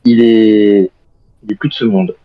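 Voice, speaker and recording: A man role-playing a courteous zombie survivor in a game, with formal phrasing and hesitant pauses. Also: on the low side, steady tone, no room sound, highs cut off. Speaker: video game role-player. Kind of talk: improvised in-character game chat.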